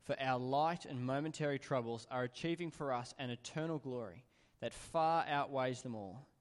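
A man reading a Bible passage aloud in a church, steady and even-paced. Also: medium pitch, normal speed. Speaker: scripture reader. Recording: long hall reverb in church.

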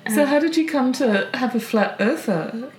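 A woman's voice: high voice, animated, moderate pace, a little mellow. A woman interviewing in a conversational style, with a curious, thoughtful way of talking. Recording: roomy echo, faint background noise.

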